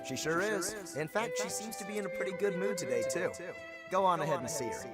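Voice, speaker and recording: A man's voice: sits high, lively intonation, brisk. An actor voicing a friendly, polite male medical staffer in a conversational video game style. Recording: prominent music over the voice.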